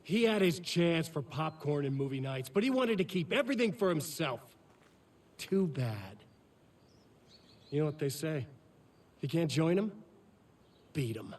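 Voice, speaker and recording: A slightly distant, husky male voice, pitched a bit high. a jealous, petty villain from a video game, cocky and slangy, with a sneering theatrical edge. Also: big pitch swings, measured pace, pauses often, a little mellow. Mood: gloating, bitter. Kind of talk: scripted video game acting.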